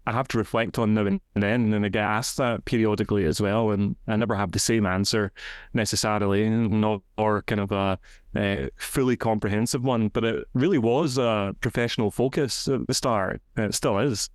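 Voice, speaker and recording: A man in his forties with a Glaswegian Scottish accent, an education professor explaining his career in a measured, articulate way as a podcast guest. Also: lowish voice, no room sound, studio quality. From Scotland. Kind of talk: podcast interview, reflective explanation.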